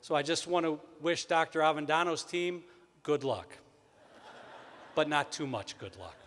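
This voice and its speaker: A man's voice: expressive, unhurried, a bit bright. Upbeat man from Wisconsin, echoing hall. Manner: upbeat, joking, booming sports-announcer intro. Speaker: event emcee. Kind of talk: ceremony announcements with jokes. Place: large hall. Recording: long hall reverb.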